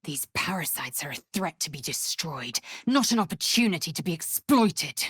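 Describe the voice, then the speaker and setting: A woman playing a proud, stern githyanki warrior in a fantasy video game, with a husky rasp and clipped, imperious, formal delivery. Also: sits low, big pitch swings, moderate pace, fairly bright tone, no room sound, studio quality, heavily acted. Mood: stern, haughty.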